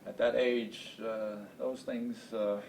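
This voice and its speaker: A man in his late seventies from Estonia, a native Estonian speaker, recalling his life in an oral history interview in a halting, deliberate way with many hesitations. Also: fairly even intonation, gravelly, a little mellow. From Estonia, later Baltimore, USA. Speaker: interview guest, space program veteran. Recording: distant mic, noisy rumbling background.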